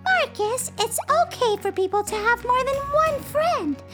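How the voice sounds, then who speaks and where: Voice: expressive, unhurried, loud music behind the voice. A storyteller voicing a cheerful, kind little girl who comforts her friend in a children's storybook. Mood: soothing. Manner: bright, encouraging, squealing highs.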